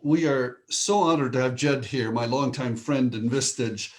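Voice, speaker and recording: A man's voice: mid-range voice, normal speed. A man hosting a video call, introducing guests with eager praise and asking questions. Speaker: host.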